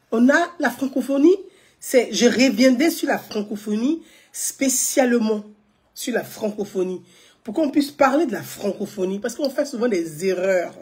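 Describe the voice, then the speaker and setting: Woman ranting on a live stream, husky voice, repeats herself, fires off rhetorical questions. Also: a little high, a little mellow. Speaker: political commentator. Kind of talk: live video commentary to viewers.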